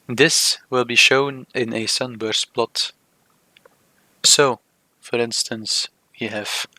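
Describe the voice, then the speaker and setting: A man narrating a software tutorial step by step, speaking in short, measured, halting phrases. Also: medium pitch, dry recording, low-quality recording. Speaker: software demonstrator. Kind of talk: scripted tutorial narration of a screen demo.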